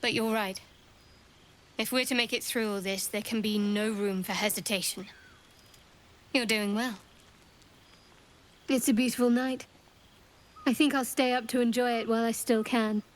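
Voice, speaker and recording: Woman voicing a game cleric, slightly high, wry, guarded, thoughtful. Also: fairly even intonation, moderate pace, long pauses, lightly acted. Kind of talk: video game cutscene dialogue.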